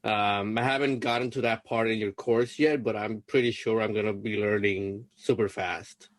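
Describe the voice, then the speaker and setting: A man's voice: on the low side, steady tone, normal speed, no echo. A young man from Puerto Rico whose first language is Spanish, talking hesitantly with many fillers on a video coaching call. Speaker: LSAT student being coached.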